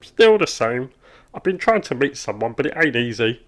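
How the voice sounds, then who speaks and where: An actor playing a long-suffering, irritable office worker in an animated comedy, terse and strained in his politeness. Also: medium pitch, moderate pace. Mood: forced politeness. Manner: terse, forced politeness, exasperated. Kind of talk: comic animated dialogue.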